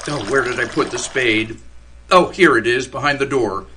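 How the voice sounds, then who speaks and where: A man playing a weary, stubborn small-town mailman in a radio play, speaking formally and deliberately. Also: mid-range voice, pushed and bright, slightly rough. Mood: resolved, brisk. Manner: formal, deliberate, repeats phrases. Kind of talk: radio theater acting.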